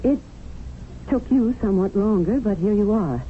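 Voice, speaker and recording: Radio-drama memsahib, played by an actress: worldly, managing, lecturing Englishwoman, expressive pitch, narrow hissy sound. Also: moderate pace, close to an everyday voice. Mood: matter-of-fact. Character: pragmatic, domineering English widowed memsahib in colonial India. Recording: tinny, noisy old radio broadcast.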